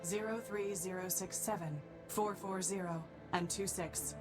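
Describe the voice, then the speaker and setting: A woman voicing a stern, vengeful female robot of law in a video game, speaking in a solemn, formal, deliberate way. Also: mid-range voice, fairly even intonation, lightly acted. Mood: solemn, instructive. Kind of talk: dramatic video game voice acting. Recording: loud music under the voice.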